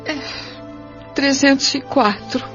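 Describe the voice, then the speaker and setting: Atriz fazendo uma senhora aflita e cortês, som abafado. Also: female voice, high-pitched, slow pace. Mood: nervous, pleading. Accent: Brazilian. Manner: formal, deferential. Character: Idalina, anxious, courteous pleading mother spirit. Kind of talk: audio drama acting. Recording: dull lo-fi audio with music bed.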